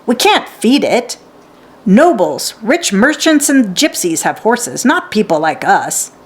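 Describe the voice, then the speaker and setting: A woman voicing a gruff, stern and grasping man in a children's storybook, curt and dismissive. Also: medium pitch, sing-song, slow pace. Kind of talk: children's storybook read aloud.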